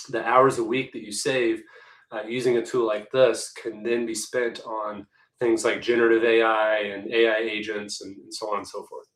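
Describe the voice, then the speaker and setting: Male webinar presenter, hoarse, chatty and conversational, echoey room. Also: lowish voice, a bit bright. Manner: chatty, conversational, many restarts. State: hoarse, losing his voice. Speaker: webinar presenter, executive assistant.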